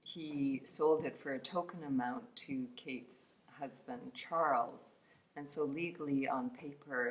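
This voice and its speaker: Low-pitched woman author presenting her novel. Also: low-quality recording. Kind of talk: informal spoken introduction to a book.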